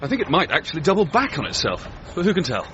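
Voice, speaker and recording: An actor playing an earnest, polite 1930s British race walker, articulate and understated, in a radio drama. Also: male voice, on the high side, huge pitch swings, quick pace, a bit bright. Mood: concerned. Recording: dull radio recording, faint background noise.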